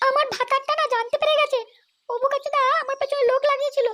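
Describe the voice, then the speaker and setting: A woman's voice: very high voice, steady tone, fast talker, bright, forceful tone. A woman voicing a bossy, insistent young wife who can sweet-talk or scold coarsely, in a crude comedy cartoon. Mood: coy, worried. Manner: insistent, nagging, coarse when angry.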